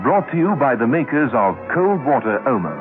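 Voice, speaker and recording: A man's voice: medium pitch, big pitch swings, normal speed. A man announcing a vintage radio programme and its sponsor in a formal scripted style. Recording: tinny, band-limited vintage radio recording. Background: loud theme music.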